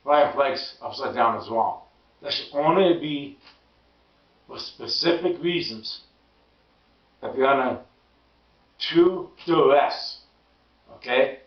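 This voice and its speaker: A hoarse man who stammers and repeats words, giving an emphatic casual political monologue. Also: lively intonation, slow, pauses often. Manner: emphatic, rising pitch when indignant. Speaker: political commentary vlogger. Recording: roomy distant lo-fi sound.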